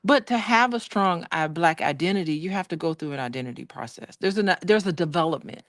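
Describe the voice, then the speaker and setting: A woman in casual political chat who jumps in with lively questions. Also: on the low side, brisk, no room sound, studio quality. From Illinois.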